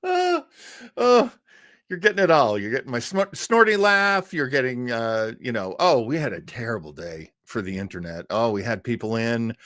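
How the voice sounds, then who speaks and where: A man's voice: mid-range voice, unhurried, long pauses, no echo, clean studio sound. A man hosting a live show in a casual, chatty, self-deprecating way, often wandering off on asides. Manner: animated, giggly, self-deprecating, digressive. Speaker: show host. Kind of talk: casual live-stream chat.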